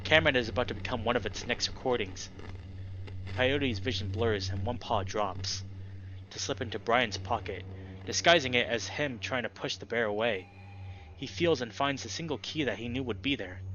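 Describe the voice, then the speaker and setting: A man's voice: medium pitch, normal speed, a bit bright. A young man hosting a let's play and reading a visual novel aloud as dramatic narration. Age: young adult. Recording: dull lo-fi audio with background noise.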